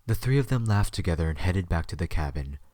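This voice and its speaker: A man's voice: low voice, expressive, quick pace, warm tone, no echo. A man narrating a scripted story as the narrator of an audio drama.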